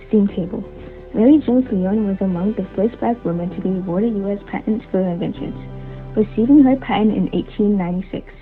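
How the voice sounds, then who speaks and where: A woman reading a scripted narration aloud as a volunteer reader. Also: medium pitch. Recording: tinny phone-like audio under music. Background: music.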